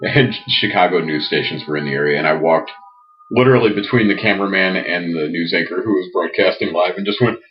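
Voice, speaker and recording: A man's voice: low-pitched, fairly quick, slight background noise. A man co-hosting a podcast, chatting casually with wisecracks and personal anecdotes. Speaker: podcast co-host. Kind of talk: casual comedic chat. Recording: dull lo-fi podcast audio.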